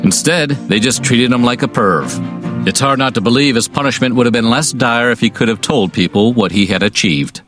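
A man of average pitch narrates a history video from a script. His wording is casual and wry, and faint music plays underneath. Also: moderate pace.

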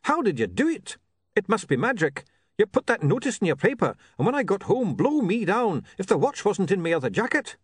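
A man doing a gushing excitable townsman. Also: high voice, normal speed, few pauses, slightly rough, no echo, studio quality, pitched above the performer's own voice, heavily acted. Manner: gushing, breathless praise. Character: excitable grateful middle-aged man, effusive.

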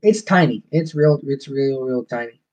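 A man's voice: mid-range voice, moderate pace, crowd noise. A man from Georgia with a Southern US accent, chatting and telling stories casually as a podcast guest. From Georgia, USA. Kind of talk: casual storytelling chat. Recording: dull lo-fi call audio.

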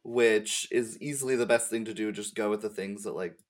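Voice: medium pitch, brisk. A podcast guest and streamer chatting casually in a friendly, gushing, slangy way. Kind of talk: casual chat.

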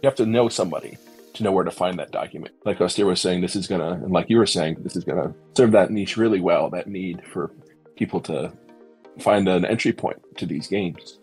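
Middle-aged man with a husky low voice. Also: a little fast. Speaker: podcast panelist. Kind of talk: casual reflective discussion. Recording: faint music underneath. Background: soft background music.

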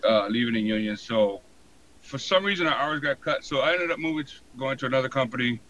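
An American man in his forties, telling his story casually in an interview with a halting, colloquial delivery full of "you know". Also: mid-range voice, a bit bright, highs cut off. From San Diego, born in Hawaii. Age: 40s. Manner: halting, colloquial, frequent 'you know'. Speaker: podcast guest, fire protection inspector.

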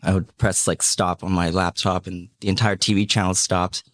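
A man in his thirties who grew up in Hong Kong, a podcast guest telling his life story in a casual, halting way, full of "um" and "you know". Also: sits low, level tone, fairly bright tone, dry recording, clean studio sound. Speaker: podcast guest, media entrepreneur.

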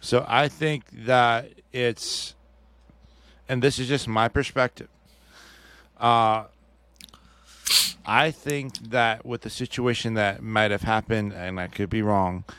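A man's voice: medium pitch, takes it slowly, long pauses, a bit bright, smooth. A man co-hosting a podcast in casual chat, talking hesitantly with many restarts and hedges. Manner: hesitant, restarts often, hedges his opinions. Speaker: podcast co-host. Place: podcast studio.

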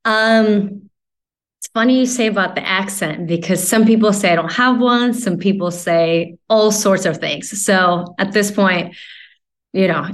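A woman's voice: medium pitch, unhurried. Chatty Latvian-born woman in her forties. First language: Russian. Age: 40s. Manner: chatty, rambling with fillers and restarts. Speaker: guest. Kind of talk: casual interview chat.

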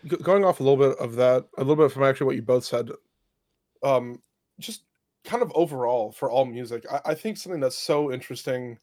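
A man's voice: mid-range voice, fairly quick, darkish tone. A young man in a casual podcast chat, musing at length and leaning on many fillers. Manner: rambling, reflective, many fillers. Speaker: podcast co-host.

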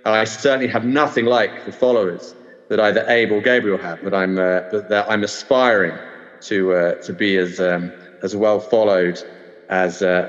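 A man's voice: fairly low pitch, fairly bright tone. A man from the UK with a London English accent, hosting a podcast in a hesitant, filler-heavy way. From United Kingdom. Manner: hesitant, many ums and uhs. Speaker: podcast host. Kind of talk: casual podcast discussion. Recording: dull roomy remote audio.

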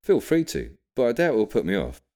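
A man acts a curious young student grandson in an audiobook. His pitch is raised above the narration, and his delivery is casual, eager and slightly hesitant. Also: huge pitch swings, a little fast, mellow. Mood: eager, challenging. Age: young adult. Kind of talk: audiobook character dialogue.

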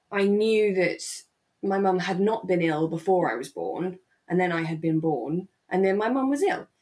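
A woman's voice: medium pitch, a little mellow. A woman co-hosting a podcast in casual, reflective conversation, thinking aloud hesitantly and often repeating phrases as she goes. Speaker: podcast co-host.

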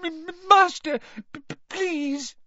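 Storybook house elf, female, played by a man: tiny, terrified servant, extremely high squeaky pleading, tearful stammer. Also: slow, pushed and bright, dry recording, lo-fi sound, exaggerated voice. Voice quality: squeaky, shrieking.

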